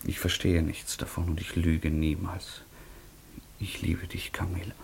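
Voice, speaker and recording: Proud lovesick young noble, low husky voice, theatrical old-school radio play delivery. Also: male voice, level tone, measured pace, exaggerated voice. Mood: tender, pleading. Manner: declamatory, theatrical. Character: earnest, proud young nobleman in love, easily stung. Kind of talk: German stage-classic radio drama acting.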